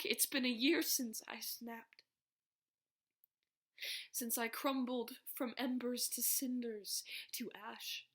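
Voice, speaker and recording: A young woman performing slam poetry in an emotional, vulnerable, rhythmic delivery that drops into hushed phrases. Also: high voice. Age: young adult. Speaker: slam poet.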